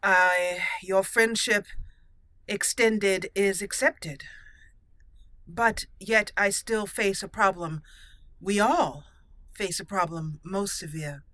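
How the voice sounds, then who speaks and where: A woman voicing an earnest elf mage commander in improvised game roleplay, with a formal, deliberate, halting manner. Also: mid-range voice, a bit bright, dry recording. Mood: solemn, urgent.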